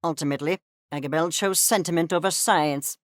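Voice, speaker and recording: A woman's voice: deep voice, a little fast, no echo, studio quality, close to an everyday voice. A woman voicing a haughty, eccentric furry creature scientist in a video game, speaking in a verbose, condescending and theatrical way with precise articulation. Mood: somber, reflective. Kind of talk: video game character acting.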